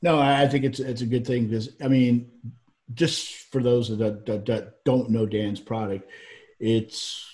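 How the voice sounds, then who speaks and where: A man with a faint Southern drawl talks in a loose, rambling way, often restarting his sentences. His voice sits at an ordinary middle pitch, and he chats casually as a co-host. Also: measured pace. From Georgia, US. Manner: rambling, animated, frequent restarts. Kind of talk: casual chat.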